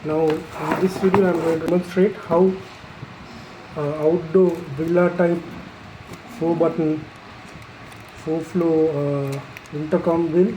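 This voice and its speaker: A person giving an unscripted, explanatory product demonstration in a halting, matter-of-fact way, pausing mid-phrase. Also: mid-range voice, background noise. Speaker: installer presenting a product tutorial.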